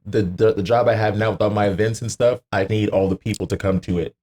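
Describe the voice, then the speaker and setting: A man's voice: lowish voice, fairly even intonation, fast, a little mellow. A man in his thirties, a podcast guest telling casual anecdotes with slang, swearing and acted-out quotes. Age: 30s.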